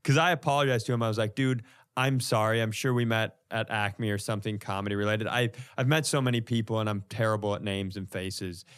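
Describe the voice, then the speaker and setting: A man's voice: fairly low pitch, steady tone, brisk, a bit bright, smooth. A man telling comic stories in casual podcast chat, with an exasperated, profane delivery. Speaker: comedian, podcast co-host.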